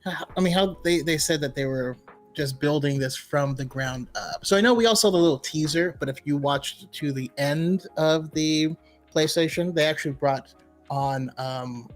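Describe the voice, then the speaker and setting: A man co-hosting a casual gaming chat, talking in an excitable, rambling way. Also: on the high side, normal speed. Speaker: podcast co-host. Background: music.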